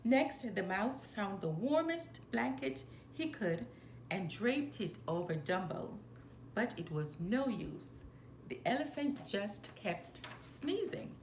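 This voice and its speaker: A woman reading a children's story aloud in a deliberate, expressive storytelling manner. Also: mid-range voice. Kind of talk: expressive scripted read-aloud narration for children. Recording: roomy echo, faint background noise.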